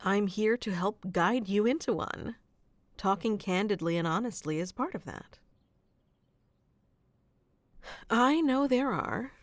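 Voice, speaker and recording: A woman's voice: medium pitch, expressive, normal speed, no echo, natural voice. A woman playing a sweet, nurturing AI relationship coach in an ASMR roleplay, speaking tenderly and reassuringly to one listener. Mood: candid, patient.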